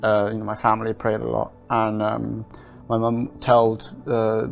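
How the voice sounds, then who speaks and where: Calm young man with a gravelly voice. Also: on the low side. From Wales and Norway. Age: 20s. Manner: calm, unhurried, with frequent hesitations. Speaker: interviewee. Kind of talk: casual personal testimony.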